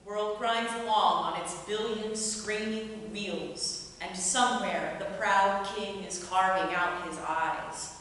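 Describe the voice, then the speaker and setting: Slow-paced woman in a very echoey hall. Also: medium pitch, steady tone, a bit bright. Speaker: performer at a writers' showcase. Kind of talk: reading aloud and addressing an audience. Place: reverberant indoor performance space. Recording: echoey hall, far mic, faint noise.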